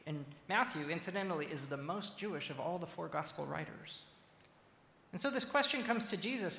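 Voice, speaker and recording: Higher-voiced male preacher in an echoing church. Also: sharp tone. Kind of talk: sermon. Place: large reverberant church interior. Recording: echoey, reverberant hall with faint noise.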